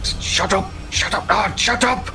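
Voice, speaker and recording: A man's voice: sits high, a little slow, pushed and bright, faint music behind, voice pushed up, exaggerated voice. A man voicing a stern, fretful father who runs an underground vault, in a curt, commanding manner. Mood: panicked.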